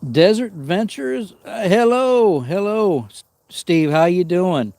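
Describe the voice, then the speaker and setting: A man's voice: sits high, expressive, slow, darkish tone, dry recording. A man hosting a camping livestream outdoors in the cold woods, sniffling as he chats with viewers and greets them by name. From Arizona. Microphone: small mic worn on him.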